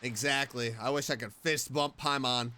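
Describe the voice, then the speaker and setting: A woman's voice: rumbling low, fairly even intonation, moderate pace, bright, forceful tone, a little hiss or hum. A woman giving casual playthrough commentary as a streamer, reacting in a joking, mock-tough way.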